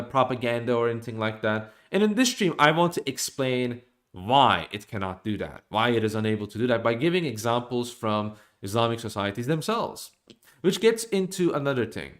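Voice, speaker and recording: A young man from Norway streaming from a small room at home, giving an opinionated monologue to his desk webcam with animated gestures and frequent restarts. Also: on the low side.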